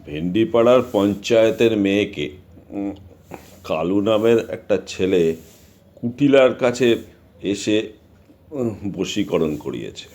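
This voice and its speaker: A very low, mellow voice carries this middle-aged village patriarch played by a male actor. He is calm and measured, with a fatherly authority that suits radio drama. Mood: matter-of-fact. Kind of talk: audio drama dialogue.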